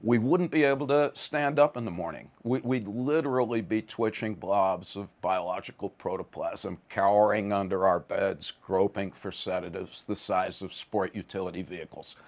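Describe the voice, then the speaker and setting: A man's voice: low-pitched, moderate pace, hardly any pauses, a little mellow. A man giving a conversational lecture in a wry, vivid, emphatic manner, often stammering on the starts of words.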